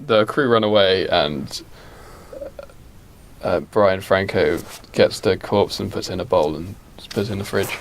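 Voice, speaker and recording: A man co-hosting a podcast, making up a story casually and haltingly, thinking aloud. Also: sits low, creaky, a little hiss or hum. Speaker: podcast co-host.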